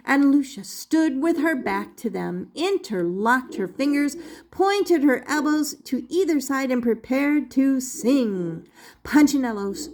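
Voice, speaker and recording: An older woman reading a children's storybook aloud as an expressive, dramatic storyteller. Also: high-pitched.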